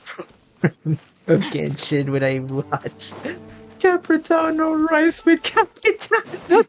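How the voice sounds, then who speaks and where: A woman game streamer giving playthrough commentary, reading dialogue aloud and reacting in a playful, rambling way. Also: mid-range voice. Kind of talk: playthrough commentary, voicing on-screen text and reacting. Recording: game music behind.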